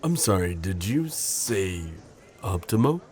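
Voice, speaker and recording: Man acting as wry undercover coworker, deep voice, protective, sardonic, audio drama. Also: big pitch swings, slow, a little mellow, heavily acted. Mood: awkward, flustered. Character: sardonic, protective male civilian coworker hiding a secret identity.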